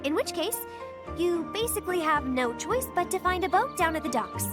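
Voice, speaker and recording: A woman's voice: very high-pitched, lively intonation, normal speed, over music. A woman voicing an eager, earnest and polite young girl in a fantasy video game. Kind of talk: animated video game cutscene acting.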